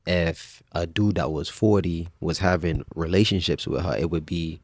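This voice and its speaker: A man in his thirties telling his own life story in a relaxed, conversational way as a podcast guest. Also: low-pitched, moderate pace, dry recording, clean studio sound. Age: 30s. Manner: relaxed, conversational, frequent restarts and religious phrases. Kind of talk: personal storytelling in casual conversation.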